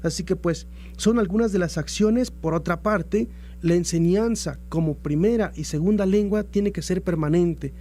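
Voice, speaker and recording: A man's voice: sits high, normal speed, warm tone, soft background music. A Mexican man giving formal, earnest explanations as an institutional guest in a radio interview, often repeating phrases as he goes. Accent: Mexican Spanish. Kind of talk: formal interview answer about institutional plans.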